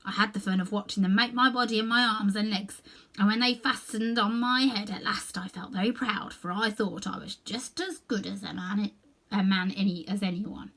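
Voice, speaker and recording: A woman's voice: medium pitch, steady tone, normal speed, fairly bright tone. A woman voicing a naive male scarecrow, earnestly and simply telling his story as in a children's storybook. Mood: earnest, wistful.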